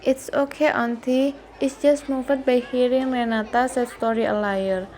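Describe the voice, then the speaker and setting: A woman's voice: on the high side, steady tone, measured pace, a little mellow, lightly acted. A woman playing a meek, frightened bullied schoolgirl in a student radio drama, with earnest, stilted delivery. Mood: meek, pleading.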